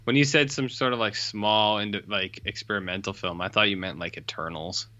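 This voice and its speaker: A man's voice: lowish voice, steady tone, moderate pace, sharp tone, lo-fi sound. A man co-hosting a podcast, chatting casually and teasing playfully. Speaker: podcast co-host. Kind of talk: casual banter.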